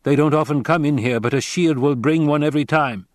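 Guy doing a raised-voice desert nomad, blunt and cryptic, slow deliberate words, dry close sound. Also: a little high, no room sound, pitched above the performer's own voice, lightly acted. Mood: stern, blunt. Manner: slow, measured, direct questioning. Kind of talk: audiobook character voice.

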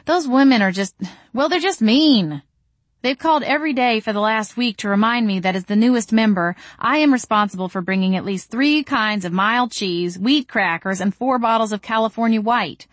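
A woman's voice: mid-range voice, expressive, fairly quick, no echo, close to an everyday voice. A woman playing a sarcastic young female vampire shop owner, wry and emphatic, in an audiobook. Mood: indignant.

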